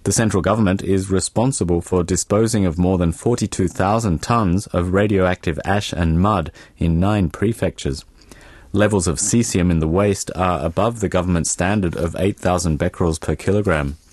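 A man's voice: deep voice, normal speed, darkish tone, dry recording, studio quality. A man reading scripted international news as a radio newsreader.

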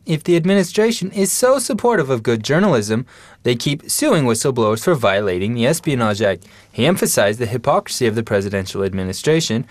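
A male newsreader delivering a radio news report in a steady, formal way. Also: medium pitch, dry recording.

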